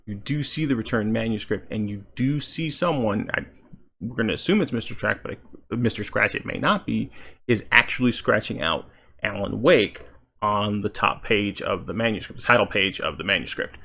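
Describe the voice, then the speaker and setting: Creaky-voiced male gaming commentator. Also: fairly low pitch, bright, forceful tone. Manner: halting, thinking aloud. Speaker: gaming channel host. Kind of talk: casual video game commentary.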